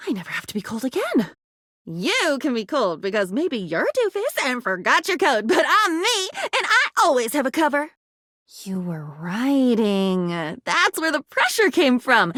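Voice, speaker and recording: High, swooping, excitable living diary. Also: female voice, dry recording, clean studio sound, heavily acted. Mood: amazed, delighted. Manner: rambling, theatrical, self-questioning, swinging from whispers to exclamations. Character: living diary book, female, excitable, scattered, anxious musing. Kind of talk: video game character acting.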